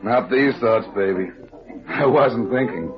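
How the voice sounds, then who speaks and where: An actor playing a wry, hard-boiled, heavyset private detective, acting and narrating a 1950s radio drama on a muffled vintage recording. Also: male voice, lowish voice, measured pace, over music, natural voice. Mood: teasing.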